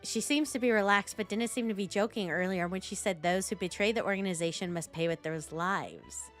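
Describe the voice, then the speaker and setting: A woman's voice: mid-range voice, animated, a little fast, faint music behind. A woman game streamer giving casual, playful, gushing live reaction commentary.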